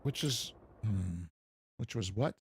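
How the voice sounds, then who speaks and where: A man's voice: on the low side, animated, slow pace, slight background noise. An American man casually chatting while streaming a farming game, rambling and poking fun at himself. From United States. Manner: rambling, self-deprecating, chuckles at himself. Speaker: gaming streamer. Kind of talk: casual live stream commentary.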